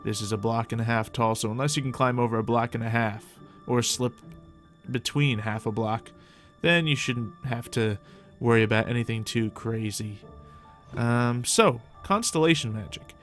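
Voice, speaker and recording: A man's voice: medium pitch, moderate pace, a bit bright. Man casually chatting through gameplay, music underneath. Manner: chatty, talks to game animals and thinks aloud. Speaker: gaming video host. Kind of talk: casual improvised Minecraft roleplay commentary.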